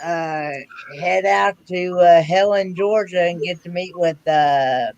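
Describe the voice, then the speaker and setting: A man joining a casual livestream chat, who speaks haltingly and fills his pauses with uh and um. His voice sits high for a man, and he talks slowly. The sound is dry and close. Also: darkish tone, no room sound, highs cut off. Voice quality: halting, full of uh and um. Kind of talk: casual conversation.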